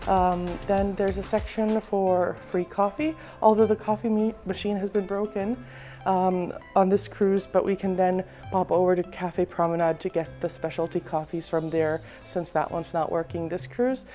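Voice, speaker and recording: Woman with a husky, sore-throat voice. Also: fairly low pitch, normal speed, soft background music. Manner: relaxed, explanatory, frequent ums. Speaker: cruise vlogger giving a ship tour. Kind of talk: casual walking tour narration. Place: indoors, moving through a cruise ship.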